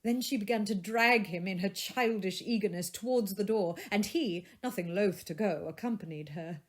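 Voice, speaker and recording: Female audiobook narrator, mid-pitched, expressive storytelling of classic prose. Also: normal speed. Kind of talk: expressive scripted literary narration.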